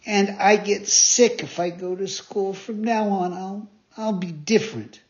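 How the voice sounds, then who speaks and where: A man's voice: high voice, fairly even intonation, unhurried, a little mellow, voice pushed up. Man voicing a whiny puppet boy, Pinocchio. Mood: pleading. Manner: whiny, pleading, stammering. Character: mischievous wooden puppet boy, whiny and eager. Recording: dull lo-fi recording.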